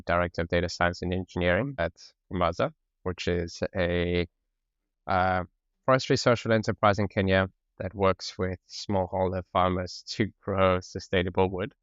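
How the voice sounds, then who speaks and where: A man's voice: low voice, fairly even intonation, unhurried, clear, resonant tone, dry recording, muffled recording. A man with a mixed French and South African accent, talking as a podcast guest in an easygoing way, often laughing. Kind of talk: casual conversational interview.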